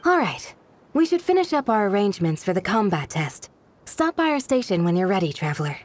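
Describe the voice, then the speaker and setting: An actress playing a dutiful, composed female village guardian in a video game dub, speaking in a measured, proper and earnest way. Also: mid-range voice, big pitch swings, slight background noise, natural voice.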